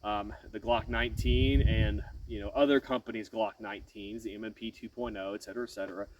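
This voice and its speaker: Man with a cold, nasal and halting. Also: lowish voice, steady tone, far from the mic, lo-fi sound, noisy background. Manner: frequent "um" fillers and self-corrections. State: has a head cold. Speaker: channel host. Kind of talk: casual firearms explainer to camera.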